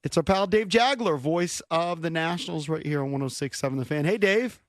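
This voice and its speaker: A man's voice: fairly high pitch, brisk, dry recording, studio quality. An American man hosting a sports radio show, introducing and questioning a guest in a casual style.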